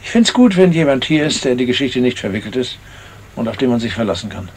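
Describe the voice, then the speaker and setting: Polite gentleman, mid-pitched voice. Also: big pitch swings, fast, slightly rough. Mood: persuasive. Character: cooperative gentleman witness, member of a yacht party. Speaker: actor in a crime radio play. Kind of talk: 1970s German radio drama acting.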